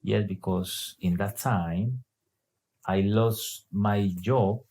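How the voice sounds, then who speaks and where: A man's voice: fairly low pitch, extremely slow, a little mellow. A man from Colombia, answering interview questions hesitantly with many filler sounds. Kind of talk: casual conversation.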